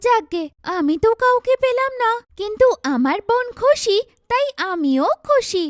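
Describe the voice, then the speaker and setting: Woman playing a kind, high-voiced young cartoon sister. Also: very animated, normal speed, no echo, clean studio sound. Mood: wistful. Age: young adult. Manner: gentle, earnest, forgiving. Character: kind, patient, self-effacing young sister. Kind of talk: cartoon dialogue.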